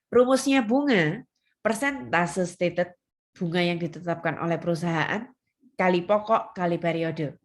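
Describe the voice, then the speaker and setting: A woman teaches a class step by step. She is instructive and leans on key terms. She often poses a question and then answers it herself. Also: on the low side. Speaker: accounting lecturer. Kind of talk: step-by-step lecture to students.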